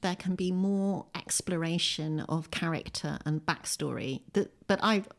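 A woman's voice: lowish voice, a little slow, vocal fry. A middle-aged British woman talking conversationally as an interview guest, reflective and wry, with self-deprecating humour. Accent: British English. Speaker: poet and short story writer, podcast guest. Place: at home, indoors.

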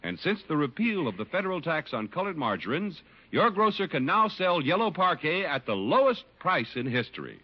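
A man's voice: on the high side, big pitch swings, moderate pace, few pauses, slightly rough. A man with an American accent giving a polished, emphatic scripted read as a 1950s radio announcer. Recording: muffled old radio broadcast. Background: music.

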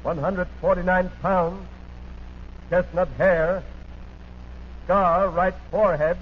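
An American man reading a police bulletin as a 1940s radio announcer, in deliberate staccato phrases with details repeated, on an old broadcast recording. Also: high voice, highs cut off, a little hiss or hum.

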